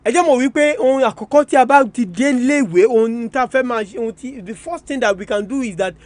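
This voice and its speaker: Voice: medium pitch, fast, a little mellow, no room sound. A radio presenter with a Nigerian Yoruba accent gives an educational talk in a didactic manner, repeating and restating points for emphasis. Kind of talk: educational radio talk, switching between languages.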